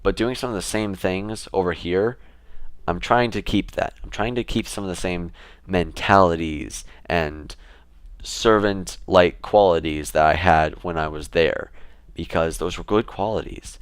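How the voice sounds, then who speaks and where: Deep-voiced young man vlogging casually. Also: unhurried, smooth, no room sound. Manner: relaxed, rambling with fillers and restarts. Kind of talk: casual talk to camera.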